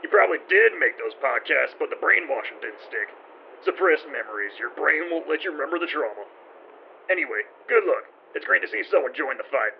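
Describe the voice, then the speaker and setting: A man playing a gung-ho conspiracy theorist caller, intense and gravelly, on a muffled voicemail in an audio drama. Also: on the high side, lively intonation, a little fast, a little hiss or hum. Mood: intense, conspiratorial.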